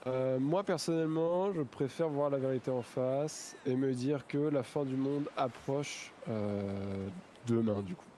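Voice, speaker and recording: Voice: medium pitch, measured pace, warm tone, natural voice. A player improvising as a mild-mannered, gloomy married man in an online roleplay game. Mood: mild, resigned. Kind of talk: improvised in-character roleplay chat. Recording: some background noise.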